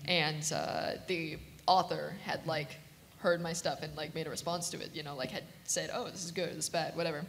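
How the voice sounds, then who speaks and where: A woman chatting casually and informally as a panelist, animated and jokey. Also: low voice, fairly quick, gravelly. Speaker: convention panelist, voice actress. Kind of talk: casual chat. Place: large convention room with audience. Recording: echoey hall, noisy pickup.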